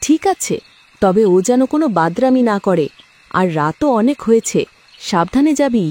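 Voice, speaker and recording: A woman's voice: a little high, very animated, normal speed, a little mellow. A woman voicing a firm, caring village mother of two sons in a horror cartoon. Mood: firm, motherly. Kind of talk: Bengali horror cartoon dub.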